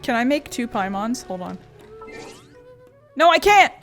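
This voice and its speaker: A woman's voice: on the high side, sing-song, unhurried, fairly bright tone, faint music behind. A woman playing a video game, reading dialogue aloud with casual asides.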